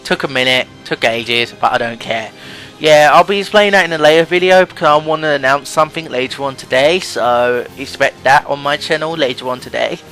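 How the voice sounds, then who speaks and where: A man's voice: on the high side, fairly quick, sharp tone, faint music behind, rough recording. A young man hosting a let's play with casual, self-deprecating game commentary. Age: young adult. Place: voice-over with game music behind.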